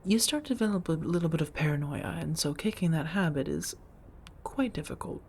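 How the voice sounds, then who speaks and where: A woman playing a gentle, guarded, centuries-old vampire roommate in a soft-spoken ASMR roleplay, with measured, formal phrasing and endearments. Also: low voice, lively intonation, a little hiss or hum. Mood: apologetic, fond. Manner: measured, formal wording with endearments, hesitant confessions.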